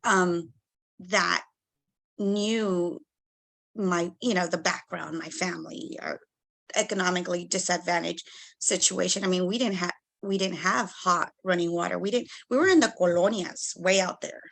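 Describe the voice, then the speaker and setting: Lively woman telling stories. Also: lowish voice, moderate pace, gravelly. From Texas. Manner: lively, laughs often, acts out dialogue. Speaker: guest, school board trustee. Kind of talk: conversational storytelling.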